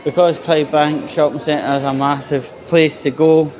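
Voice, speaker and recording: A man's voice: a little high, steady tone, moderate pace, darkish tone. A man with a Scottish accent giving a blunt, sweary, casual vlog monologue while out walking. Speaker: video blogger, host. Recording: noisy outdoor walking recording, constant background noise.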